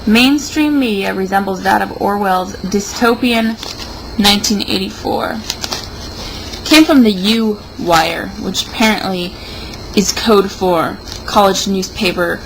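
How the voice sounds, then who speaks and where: A woman's voice: medium pitch, a little slow. A young woman in a casual, rambling monologue, sounding tipsy and sardonic. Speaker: vlogger. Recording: noticeable background noise.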